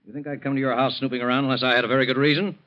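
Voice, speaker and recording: A persistent American police captain acted by a man in old-time radio. His medium-pitched voice is dry and matter-of-fact. The recording is dull and vintage. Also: level tone, very fast, close to an everyday voice. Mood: blunt, firm.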